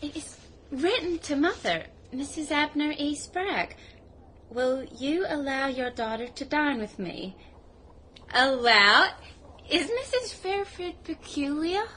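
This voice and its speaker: A woman's voice: sits high, lively intonation, takes it slowly, sharp tone, slight background noise, heavily acted. An actress playing a spoilt, socially ambitious young woman with an American accent, petulant and demanding, in a radio drama. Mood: puzzled, haughty. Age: young adult.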